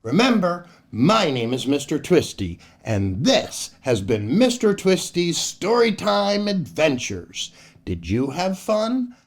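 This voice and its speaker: Animated older American man, higher-pitched storyteller. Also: takes it slowly. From United States. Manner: theatrical, addresses young listeners with questions. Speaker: children's storyteller and show host. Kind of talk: expressive children's story read-aloud.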